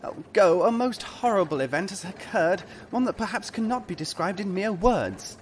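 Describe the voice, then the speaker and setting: A male actor playing a pompous, fretful rich gentleman with genteel old-fashioned phrasing in a comic audio drama. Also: high-pitched, expressive, normal speed, slight background noise. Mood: panicked. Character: fussy, panicky rich gentleman, pompous and perfumed.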